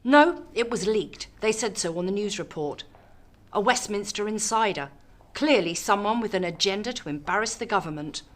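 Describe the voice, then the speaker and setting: A woman plays an ambitious government politician in a radio drama. Her mid-pitched voice is cool, dry and self-assured, with a calculating edge. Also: animated, moderate pace, lightly acted. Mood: cool, measured.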